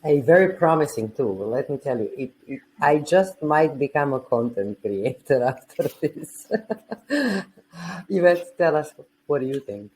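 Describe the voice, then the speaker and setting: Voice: mid-range voice, lively intonation, measured pace, darkish tone, rough recording. Panel host, hesitant, hunts for words, bit of noise behind. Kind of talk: hosting a panel discussion.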